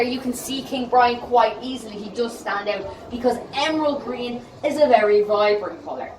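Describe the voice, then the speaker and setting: An Irish woman with a fairly high voice leads a tour, talking to her group in a chatty way and checking in with them often. She sounds far from the camera, and the museum room around her echoes heavily. A faint hiss runs underneath. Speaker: tour guide. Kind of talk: guided tour talk to a group. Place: indoor museum exhibit.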